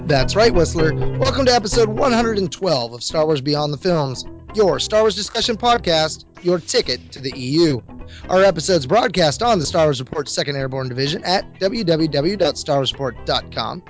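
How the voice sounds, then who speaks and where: A hoarse, scratchy-voiced man hosting a podcast with a showy, announcer-style scripted delivery. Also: on the high side, expressive, normal speed. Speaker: podcast host. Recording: dull lo-fi audio with music behind.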